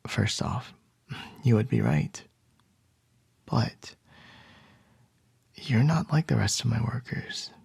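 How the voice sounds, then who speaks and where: A controlling young CEO boss, as a man puts him on, talking close to the mic in a husky, gravelly murmur that often falls to a whisper. He takes his time over every word, and the sound is dry and intimate, with no room behind it. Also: no room sound, heavily acted. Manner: soft low whispering, measured and domineering. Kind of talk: ASMR roleplay.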